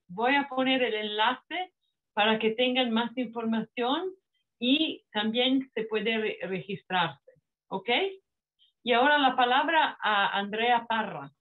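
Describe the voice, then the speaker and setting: A woman with a heavy Italian accent gives a formal welcome speech, speaking very slowly and haltingly and often restarting her sentences. Her voice sits a little high. The sound is narrow and phone-like, as over a video call. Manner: slow, halting, with frequent restarts. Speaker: network manager opening a panel.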